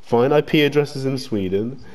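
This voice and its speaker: A man's voice: mid-range voice, moderate pace, darkish tone. A man in an online game voice chat, exasperated and swearing as he argues back. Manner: exasperated, swearing, laughing off insults. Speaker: online game player and recorder. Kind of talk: heated voice chat argument.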